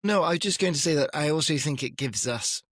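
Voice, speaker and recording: A man's voice: a little high, expressive, fast, fairly bright tone, no room sound, clean studio sound. Man explaining with emphatic energy. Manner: explanatory, with emphatic rises and light laughs. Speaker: guest scholar. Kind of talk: casual conversation.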